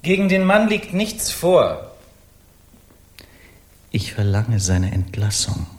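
An actor playing a brazen, eloquent Parisian artist in a German radio crime play, theatrical and mocking in manner. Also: male voice, fairly low pitch, sing-song, slow pace. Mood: defiant, accusing.

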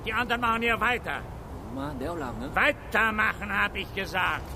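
A man's voice: high-pitched, moderate pace, creaky, noisy background. A male actor in a German radio drama playing a bullying, panicky forest foreman who barks orders in coarse, impatient slang. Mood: irritated, commanding. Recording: loud sound effects and music behind.

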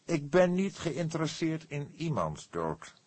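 A man's voice: a little high, big pitch swings, unhurried, darkish tone, no echo, voice pushed up. A man voicing an irritable, self-important male literary publisher in an audiobook, speaking formally and emphatically. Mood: irritated. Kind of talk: Dutch audiobook character voice.

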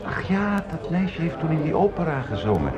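Older patriarch, radio drama, brisk, patronising, very wide swings in pitch, lo-fi sound. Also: male voice, sing-song, a loud crowd. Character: domineering, hearty older father, a well-off jurist. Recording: dull lo-fi radio play recording.

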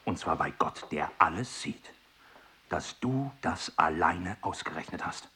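An actor playing a harsh village schoolteacher who likes to beat his pupils, barking orders and then turning hushed and menacing in a radio play. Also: sits low, expressive, measured pace, breathy edge, heavily acted. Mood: hushed, stunned. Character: harsh old-school male village teacher who loves beating pupils.

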